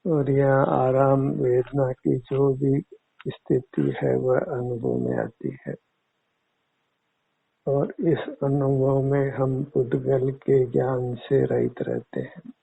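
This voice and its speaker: Slow, gravelly man guiding meditation, phone-like sound. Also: medium pitch, level tone. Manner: measured, instructive, formal wording. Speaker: meditation teacher. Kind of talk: guided meditation, spiritual instruction. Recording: muffled phone-like narrow-band audio.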